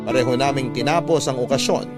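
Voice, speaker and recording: A man's voice: mid-range voice, quick pace, loud music behind the voice. A man with a Filipino accent narrating a love story in the style of classic radio storytelling. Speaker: narrator reading a listener's love letter.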